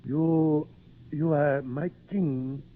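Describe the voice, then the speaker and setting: A man's voice: on the high side, fairly even intonation, very slow. A man playing a humble, devoted islander servant in an old-time radio drama, speaking simple broken English with words dropped. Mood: gentle, hopeful. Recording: tinny narrow-band old radio recording.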